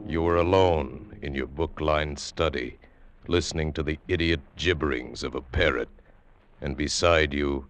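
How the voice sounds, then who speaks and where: Very deep male announcer, ominous and deliberate, clear music behind. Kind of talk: dramatic radio narration. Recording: old radio broadcast over music.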